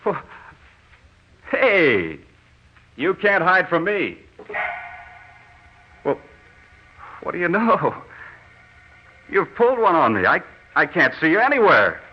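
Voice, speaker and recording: An actor playing an earnest, lovestruck young soldier home from war, in theatrical old-time radio drama style. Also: male voice, a little high, wildly swooping pitch, lo-fi sound, exaggerated voice. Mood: playful, calling out. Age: young adult. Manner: theatrical, drawn-out, dramatic delivery. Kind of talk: old-time radio drama acting, narrated first person. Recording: noisy, band-limited old radio recording.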